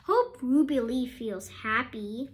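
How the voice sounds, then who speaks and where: A woman reading a children's picture book aloud, expressive and deliberate, pausing to talk to young listeners. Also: on the high side. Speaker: storyteller.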